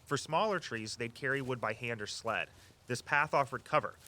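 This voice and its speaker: An actor playing an eager, earnest walking-tour guide who tells local history with a storyteller's flair. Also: male voice, mid-range voice, moderate pace, sharp tone, lightly acted. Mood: casual, earnest. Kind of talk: audio drama dialogue, storytelling tour patter.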